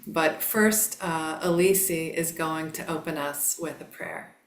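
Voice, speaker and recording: A woman hosts an online presentation in a gentle, halting way, often pausing with fillers. She speaks slowly in a slightly low voice. The sound is roomy and echoey. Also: steady tone. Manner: gentle, hesitant, with many fillers. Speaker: program host. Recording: echoey room.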